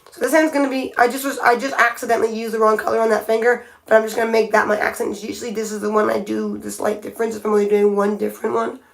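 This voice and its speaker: A woman's voice: a little high, level tone, fast, creaky, hardly any pauses. A woman chatting casually on a livestream as she paints her nails, thinking aloud and often restarting her sentences. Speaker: nail art livestreamer. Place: home by a window.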